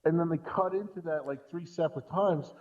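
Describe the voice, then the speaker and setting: A man's voice: a little high, a little fast, phone-quality sound. A man in a casual podcast discussion, telling things in a rambling way with repeated false starts. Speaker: podcast guest co-commentator.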